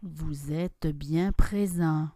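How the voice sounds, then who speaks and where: A woman guiding a yoga nidra relaxation, giving calm, soft instructions with long pauses between phrases. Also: low voice, dry recording, studio quality. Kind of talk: guided relaxation meditation.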